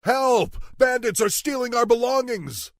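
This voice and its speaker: An actor voicing a frightened, humble farmer in a fantasy video game. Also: male voice, extremely high pitch, animated, unhurried, dry recording, studio quality, heavily acted. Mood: panicked. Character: frightened humble farmer begging rescue from bandits.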